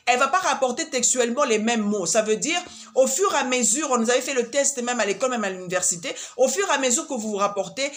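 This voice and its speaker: Congolese woman musing with many fillers. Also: fairly high pitch. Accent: Congolese French. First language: Swahili. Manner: hesitant, many fillers and repeated words. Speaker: vlogger giving life advice. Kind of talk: casual advice monologue.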